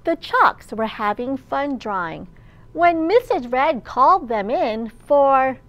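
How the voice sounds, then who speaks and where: A woman hosts storytime as a children's librarian. Her voice is high, and she reads very slowly, enunciating each phrase in an animated way for small children. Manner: animated, slow and clearly enunciated. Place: large indoor library room.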